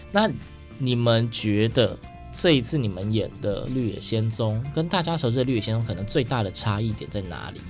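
A man with a Taiwanese Mandarin accent hosting a radio show, chatting casually and animatedly as he interviews guests. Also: fairly low pitch. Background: music playing behind the talk.

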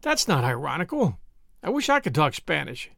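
A man's voice: a little high, huge pitch swings, gravelly, fairly bright tone, no room sound, studio quality, heavily acted. A man voicing a teasing, exuberant male friend in an audiobook, needling in a mock-grandiose way. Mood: mock scolding. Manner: mock-grandiose, needling, rapid-fire exclamations. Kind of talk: audiobook character dialogue.

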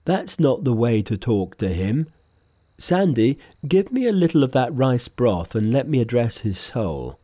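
A man voicing an oafish, insolent pig disciple in a storybook, grunting out drawn-out jibes. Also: medium pitch, very animated, warm tone. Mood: blunt.

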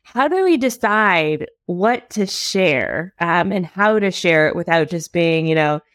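Low-voiced woman podcast host, dry sound. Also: lively intonation, unhurried, fairly bright tone, dry recording. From Miami, US. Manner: friendly, lots of backchanneling. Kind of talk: casual podcast conversation.

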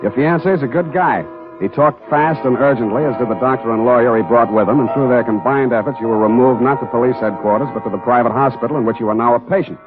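A man's voice: mid-range voice, fast talker, close to an everyday voice. A man acting a cool, wry private detective in a 1940s radio drama, clipped, matter-of-fact and understated. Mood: wry, grim. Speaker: lead actor and narrator of a detective radio drama. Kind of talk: 1940s radio acting, hard-boiled narration. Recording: muffled narrow-band old radio recording, music.

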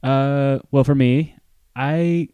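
Young man from Dallas, boy band guest, relaxed, hesitant, dry close mic. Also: medium pitch, extremely slow, clear, resonant tone, no echo. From Dallas, Texas. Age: young adult. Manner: easygoing, hesitant with ums. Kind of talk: casual interview chat.

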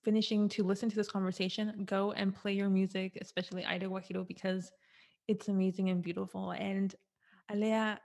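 Woman host, conversational interviewer; warm, emotional, admiring. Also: medium pitch, flat delivery, brisk, creaky. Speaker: podcast host.

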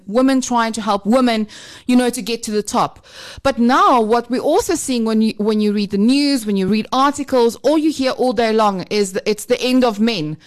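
Upbeat woman podcast host. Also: fairly high pitch. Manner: upbeat, explanatory. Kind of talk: casual podcast chat.

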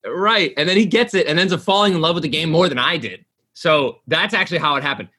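A man's voice: a little high, fast, bright, forceful tone, slightly rough. A man in his late twenties chatting casually, rambling with lots of fillers and restarts. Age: 20s. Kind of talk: casual chat.

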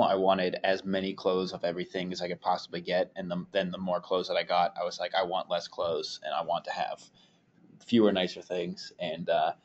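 A man's voice: low-pitched, muffled recording, a little hiss or hum. A man hosting a podcast, speaking conversationally as he introduces and interviews a guest.

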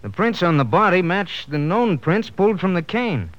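An actor playing a brisk, earnest American police lab technician in a 1950s western radio drama, reporting informally and emphatically. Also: male voice, high-pitched, big pitch swings. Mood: urgent, certain. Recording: muffled vintage 1950s radio broadcast recording.

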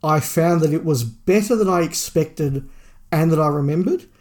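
A man's voice: a little high, moderate pace, darkish tone. A man co-hosting a podcast, giving opinionated, emphatic views in a casual review discussion.

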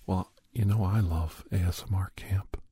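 Breathy, dark-toned man whispering. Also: low voice, steady tone, moderate pace. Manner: soft, whispery, chatty and gently teasing. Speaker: ASMR roleplay host playing a caregiver. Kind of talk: whispered ASMR roleplay chat. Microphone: close microphone.

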